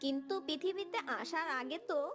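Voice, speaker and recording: A woman's voice: very high voice, brisk, music in the background. A woman narrating a scripted children's cartoon story.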